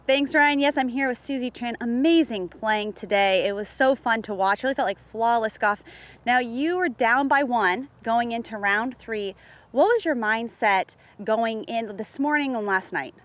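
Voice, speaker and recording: A woman's voice: fairly high pitch, normal speed. A woman working as a sideline reporter at a golf event, interviewing a winner with enthusiasm. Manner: upbeat, enthusiastic questioning. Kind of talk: post-round interview.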